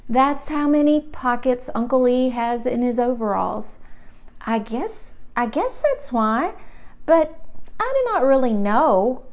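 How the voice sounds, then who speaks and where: A woman voicing a curious, literal-minded small boy in a children's storybook, musing in a puzzled, deliberate, childlike way. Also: a little high. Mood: naive wondering. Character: curious, literal-minded small boy narrating about his great-uncle.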